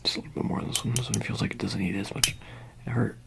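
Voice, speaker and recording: A soft-spoken ASMR performer in a roleplay, murmuring casually and often slipping into a whisper as if tending to someone. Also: medium pitch, steady tone, racing, vocal fry, very noisy. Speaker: ASMR performer playing an attendant.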